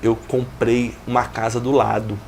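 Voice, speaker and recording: A man in his forties, a guest retelling how he built his business, speaking in an even, reflective way. His voice has a gravelly, fry-tinged edge at a middle pitch. A faint noise sits under the recording. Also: normal speed. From Brazil. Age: 40s. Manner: reflective, steady storytelling with business jargon. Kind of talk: interview, telling his life story.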